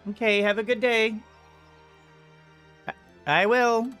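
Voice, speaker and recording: A man's voice: sits high, steady tone, slow, a bit bright, clear, resonant tone. A middle-aged man giving casual let's play commentary, thinking aloud and hesitating as he goes. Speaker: gaming video host. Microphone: microphone on arm in front of him. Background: game music.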